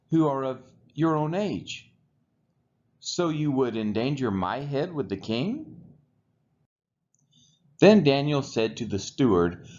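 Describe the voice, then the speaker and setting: A man reading the Bible aloud from the text in a measured, deliberate way, phrase by phrase. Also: medium pitch, a little mellow, muffled recording. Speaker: scripture reader.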